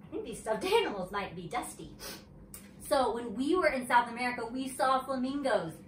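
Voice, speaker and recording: A woman reads a children's story aloud with expression and chatty asides. Her voice is fairly high and animated. It is recorded from a distance in a roomy space with faint noise. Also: normal speed. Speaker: teacher reading aloud.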